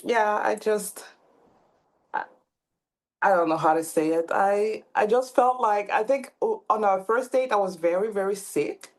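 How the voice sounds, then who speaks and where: A woman's voice: mid-range voice, a little slow. A woman from Cameroon in her thirties, a podcast guest talking casually with many fillers and restarts. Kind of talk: casual interview talk.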